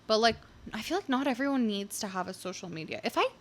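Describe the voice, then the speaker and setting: A woman's voice: on the high side, animated, fast talker. A young adult woman, a comedian guest on a podcast, chatting casually and leaning heavily on "like" as a filler. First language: not English. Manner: chatty, filler-heavy with frequent "like". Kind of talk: casual chat.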